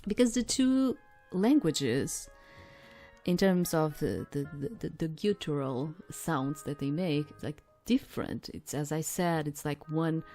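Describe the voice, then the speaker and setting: A woman streamer casually commenting while playing a visual novel, rambling with lots of fillers and restarts. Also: sits low.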